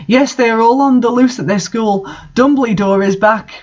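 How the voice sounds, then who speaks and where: A woman's voice: fairly high pitch, normal speed, a little mellow, dull audio, pitched above the performer's own voice, natural voice. A woman voicing a worried goth schoolteacher, urgent and melodramatic, in a fan fiction reading. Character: worried, breathless-talking female goth teacher, dramatic.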